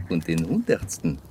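Low-voiced, wry, musing man. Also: darkish tone, full character voice. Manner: musing, sprinkles in French words. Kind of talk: radio drama dialogue.

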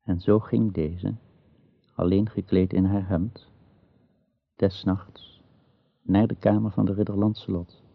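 Deep gravelly guy narrating an old radio play, slow n solemn, kinda muffled. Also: little pitch movement, no echo. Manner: measured, solemn. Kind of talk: scripted radio drama narration, archaic storytelling. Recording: dull lo-fi old radio recording.

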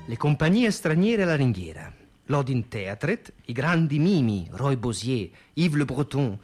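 A man's voice: mid-range voice, big pitch swings, unhurried, darkish tone. An actor playing a wry, nostalgic man narrating theatre memories for radio. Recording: music behind the voice. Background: music.

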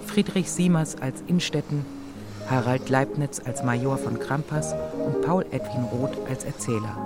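A man reading a scripted credits announcement in a formal style. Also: medium pitch. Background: music.

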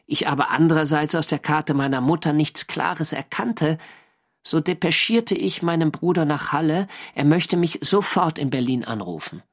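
An actor playing a First World War navy diarist, narrating in a measured, matter-of-fact literary way for a radio drama. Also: male voice, on the high side.

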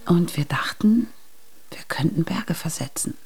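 Weary ageing mother, low creaky voice. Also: lively intonation, a little slow, a little mellow, in a whisper, heavily acted. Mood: wistful. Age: middle-aged or older. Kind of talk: German radio drama acting.

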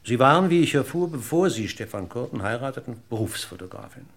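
An actor playing a middle-aged police inspector in a German radio crime drama, husky and gravelly, formally polite, deliberate and persistent in his questioning. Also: mid-range voice, very animated, a bit bright, heavily acted. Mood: politely insistent. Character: probing, courteous male police inspector, dryly persistent.

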